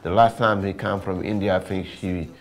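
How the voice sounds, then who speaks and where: A man's voice: sits low, steady tone, moderate pace. A man with a Senegalese accent talking informally in an interview as an artist, often repeating words and phrases. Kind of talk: casual interview talk.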